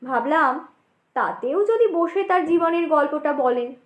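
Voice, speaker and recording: A woman's voice: high-pitched, expressive, a little slow, echoey room. A woman narrating a horror story in a dramatic, suspenseful, theatrical style.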